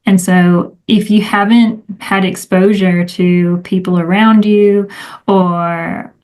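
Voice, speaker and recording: A woman's voice: medium pitch, little pitch movement, slow pace, darkish tone. A middle-aged woman, a time management coach, chatting casually as an interview guest, rambling with many fillers.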